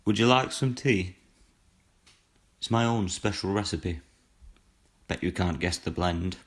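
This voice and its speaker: An actor playing a weary, solitary retired horror storyteller in an audio drama, speaking in a dry, deliberate, gravelly way. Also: male voice, deep voice. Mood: dry, polite.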